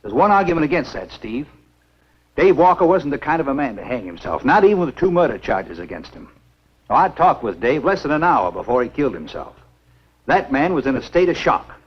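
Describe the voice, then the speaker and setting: An actor playing a grave, reasoning small-town American doctor with a husky voice in a 1950s horror film. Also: male voice, medium pitch, sing-song, a little fast. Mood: grave, insistent. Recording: dull 1950s film soundtrack.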